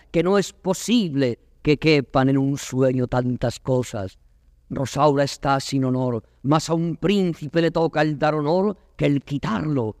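A man's voice: medium pitch, no echo, clean studio sound, natural voice. Man acting a proud young prince, declaiming grandly. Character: proud young prince, torn between dream and waking. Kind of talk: classical Spanish verse stage acting.

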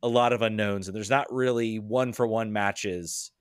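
Low-voiced man talking soccer, thinking aloud. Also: steady tone, normal speed, smooth, no room sound, studio quality. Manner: hesitant, thinks aloud, hedges. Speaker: podcast guest, soccer analyst. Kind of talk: casual sports discussion.

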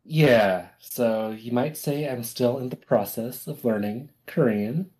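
Husky-voiced young man, hesitant. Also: medium pitch, slow pace, darkish tone. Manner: hesitant, lots of ums and you-knows. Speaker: podcast co-host. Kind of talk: casual chat.

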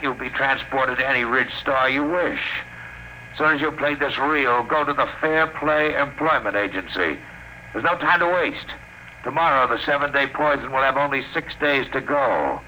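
An actor playing a menacing blackmailer who gives cold, precise orders, in old-time radio drama style. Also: male voice, medium pitch, pushed and bright, low-quality recording. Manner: cold, instructing. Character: menacing male blackmailer giving taped orders. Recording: vintage radio broadcast, faint noise.

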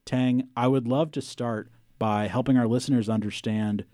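A man hosting a public radio interview show in a studio, friendly and measured. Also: mid-range voice, steady tone, dark tone, no echo. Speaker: radio host. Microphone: studio microphone.

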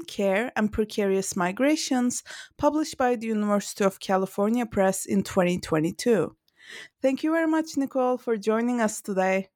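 A woman hosting a book interview podcast who introduces her guest and asks questions in a polite, measured, scripted way. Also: fairly high pitch, darkish tone, dry recording, clean studio sound. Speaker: podcast host.

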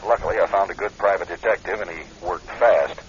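A man's voice: deep voice, brisk, background noise, close to an everyday voice. An American man playing a blunt, protective friend in a radio drama, urgent and colloquial in his talk. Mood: blunt, insistent. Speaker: actor in a radio play. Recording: dull lo-fi radio recording.

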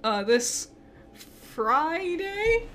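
A woman's voice: very high voice, very animated, very slow, fairly bright tone. A young woman co-hosting a casual podcast, swearing freely and laughing often. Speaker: podcast co-host. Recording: faint background noise.

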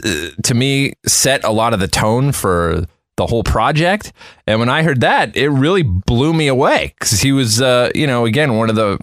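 Lively low-voiced man, close dry sound. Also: dry recording. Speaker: podcast panelist. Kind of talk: casual podcast chat.

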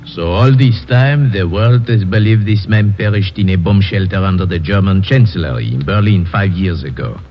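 An actor playing a stiff, courteous police inspector in an old-time radio drama, measured and officious. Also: male voice, low voice. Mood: matter-of-fact. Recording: dull, hissy old radio recording.

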